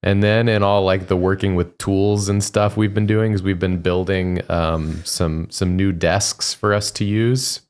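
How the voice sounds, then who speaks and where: Sleepy guy on a game stream, jokey and rambly, kinda low voice. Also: level tone, measured pace, hardly any pauses, darkish tone, smooth. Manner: joking, rambling with restarts. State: tired. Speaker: co-host of a gaming stream. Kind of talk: casual stream chat.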